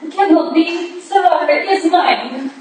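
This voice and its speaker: In a very echoey hall, far from the microphone, an actress plays an anxious young foreign woman. Her English is halting and stilted, and her voice sits high, as in a Victorian stage drama. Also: darkish tone, slight background noise. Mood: nervous, defensive.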